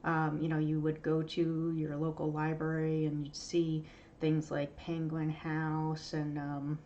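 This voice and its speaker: Woman host, thirties, nasal with allergies, lower voice, chatty and unpolished, slight background hiss. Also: completely flat delivery, measured pace, dark tone, highs cut off. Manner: chatty and candid, many ums and uhs. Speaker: podcast host. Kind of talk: casual solo talk to writers. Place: home room.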